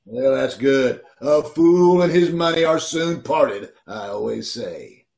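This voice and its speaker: An actor playing a pompous, sententious company boss who talks like a copybook in an old-time radio comedy, recorded over a video call. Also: male voice, mid-range voice, lively intonation, slow, gravelly, darkish tone. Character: pompous, proverb-spouting male company boss.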